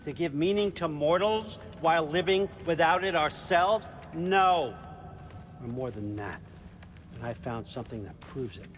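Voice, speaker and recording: An actor playing a scheming old god and patriarch in a video game, talking in a casual, persuasive, wry way. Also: male voice, on the high side, expressive, a little slow, noisy background. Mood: persuasive, musing. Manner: casual, persuasive, wryly conversational. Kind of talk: cinematic video game acting. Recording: loud music behind the voice. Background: music.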